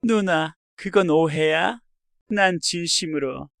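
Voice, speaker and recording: A woman's voice: low voice, takes it slowly, no echo, clean studio sound, voice pushed down. A woman voicing a flustered adult younger brother, protesting hesitantly and defensively in a dramatized audio story. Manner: hesitant, protesting, self-justifying.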